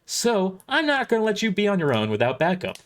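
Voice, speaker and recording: A man's voice: high voice, wildly swooping pitch, fairly quick, fairly bright tone, voice pushed up, heavily acted. A man voicing a bold, stubborn and loyal lady fighter in an audio drama, speaking informally and brashly. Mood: defiant.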